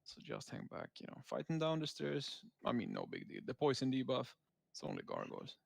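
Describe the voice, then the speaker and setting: A man's voice: medium pitch, huge pitch swings, fairly quick, vocal fry, no echo. A man giving casual, laid-back gameplay commentary as a raid leader, explaining tactics as he goes.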